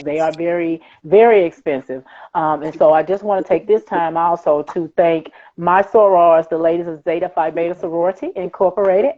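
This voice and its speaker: A woman speaking as a playwright and interview guest, giving emphatic thanks and repeating words for stress. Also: on the low side. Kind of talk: thank-you remarks on a live interview show. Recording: thin phone-like video-call audio.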